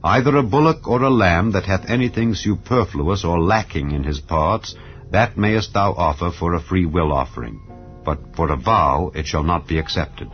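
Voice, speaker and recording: A man giving a solemn, measured, dramatized scripture reading. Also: low-pitched. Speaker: scripture reader. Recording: lo-fi with soft background music.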